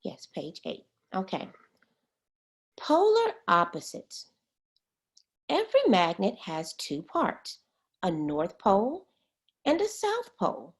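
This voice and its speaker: A woman reading a children's science book aloud as a teacher, expressively and pausing to explain words. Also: mid-range voice, wildly swooping pitch.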